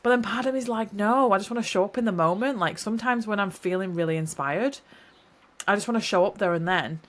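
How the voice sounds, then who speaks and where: A woman's voice: mid-range voice, quick pace. A young woman hosting a solo podcast, a little nasal and stuffy from hay fever, talking in an earnest, rambling, heartfelt way. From New Zealand. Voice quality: slightly nasal. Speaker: podcast host. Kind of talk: casual solo podcast talk.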